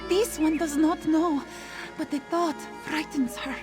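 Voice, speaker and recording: A woman's voice: sits high, steady tone, measured pace, over music. A woman voicing an anxious, insistent old feline grandmother in a video game, referring to herself in the third person. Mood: fearful. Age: older.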